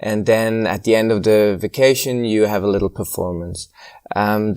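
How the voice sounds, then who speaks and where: A man in his thirties from Switzerland talks about his work in a relaxed interview. His voice sits a little low, and he speaks English with frequent pauses and searching for words. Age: 30s. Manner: hesitant, many ums, slightly non-native phrasing. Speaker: guest, circus acrobat. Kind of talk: casual interview conversation.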